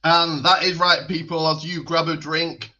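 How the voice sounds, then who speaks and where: A man's voice: fairly high pitch, fairly even intonation, measured pace, sharp tone. A man hosting a podcast, introducing his guest with an announcer-style build-up, then chatting casually. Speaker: podcast host. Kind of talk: hyped show intro, then casual interview chat. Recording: dull lo-fi audio.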